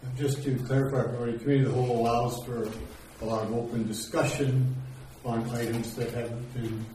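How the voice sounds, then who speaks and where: Man, council chair, mellow voice, echoey chamber, distant mic. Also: medium pitch, little pitch movement, fast. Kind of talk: formal council meeting proceedings. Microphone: table microphone. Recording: echoey room from far off, faint noise.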